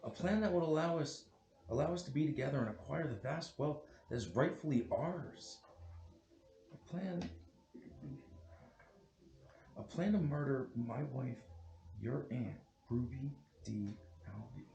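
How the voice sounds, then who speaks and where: A man playing a scheming, unfaithful husband and impatient lover, melodramatic and halting, at a film script table read. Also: mid-range voice, expressive, vocal fry, mellow. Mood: conspiratorial. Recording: echoey room.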